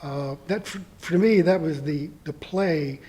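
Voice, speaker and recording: Soft-voiced man, hesitant guest. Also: a little high, measured pace. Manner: hesitant, many fillers. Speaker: guest, community theatre actor. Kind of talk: casual interview.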